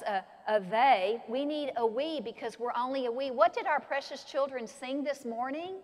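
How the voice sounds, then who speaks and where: Older lady pastor preaching, kinda high voice, lively, long church echo. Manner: animated, expressive preaching with gestures. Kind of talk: sermon. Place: large church sanctuary, at a pulpit.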